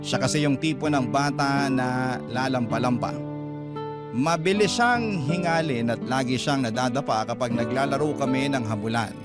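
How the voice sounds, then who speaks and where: A man's voice: mid-range voice, normal speed. A man with a Filipino accent reading a dramatic first-person story as a radio drama narrator. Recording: guitar music behind. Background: plucked guitar music.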